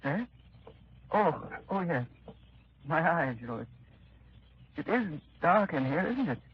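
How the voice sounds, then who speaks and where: A frail, stammering old prisoner. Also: male voice, on the high side, big pitch swings, takes it slowly, breathy edge, exaggerated voice. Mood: weary. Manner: hesitant, stammering. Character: frail old released prisoner, weary and bitter. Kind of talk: 1930s radio drama acting. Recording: dull old radio broadcast.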